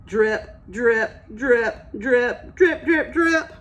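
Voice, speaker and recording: Casting: female preschool-style teacher for an interactive story lesson, unhurried pace, encouraging and clear in her explanations to little listeners. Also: on the high side, highs cut off. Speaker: early childhood teacher reading aloud. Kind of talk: interactive read-aloud lesson for young children.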